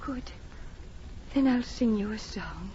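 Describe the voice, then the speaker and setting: On a dull old radio recording, a frail, distracted madwoman. Her high voice drifts along very slowly, childlike and gentle, with a vague, dreamy air. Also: expressive, a little hiss or hum. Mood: dreamy, distant. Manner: slow, vague, wandering, disconnected. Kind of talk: 1940s radio drama acting.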